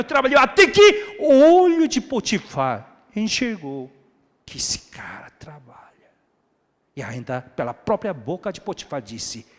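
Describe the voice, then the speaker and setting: A man preaching a sermon as pastor, dropping to hushed asides and then rising to loud, high, emphatic repeated phrases. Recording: echoey hall with long reverb.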